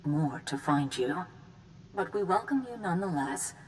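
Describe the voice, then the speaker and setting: A woman's voice: fairly low pitch, lively intonation, moderate pace, a little mellow, a little hiss or hum, natural voice, low-quality recording. An actress voicing a serene, ceremonious female emissary of an insect hive in a video game, formal and stately, speaking as "we". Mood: welcoming. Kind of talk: scripted video game voice acting.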